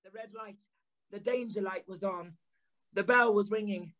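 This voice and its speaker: A man playing a troubled, earnest gentleman in an audio play, speaking in a deliberate, dramatic manner. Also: sits high. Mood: panicked. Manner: deliberate, dramatic, halting repetitions. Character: troubled gentleman narrator, earnest, haunted by a nightmare.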